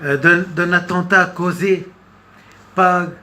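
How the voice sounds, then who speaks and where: Very slow, halting man giving commentary. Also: high voice, steady tone, bright, forceful tone. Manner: halting, hesitant, with long pauses and repeated words. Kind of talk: unscripted political commentary monologue. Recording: noticeable background noise.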